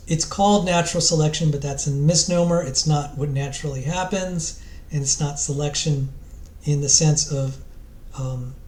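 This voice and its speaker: Higher-voiced man lecturing in an echoey room. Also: darkish tone. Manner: halting, self-interrupting. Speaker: presenter. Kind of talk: informal lecture. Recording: roomy, echoey sound.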